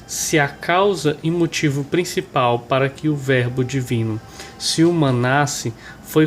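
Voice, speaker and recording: A Brazilian man with a nasal, hoarse voice, stuffed up with a cold, presenting and reading a religious book aloud. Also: a little high, slight background noise. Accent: Brazilian Portuguese. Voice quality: nasal, congested. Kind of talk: informal remarks and devotional reading aloud.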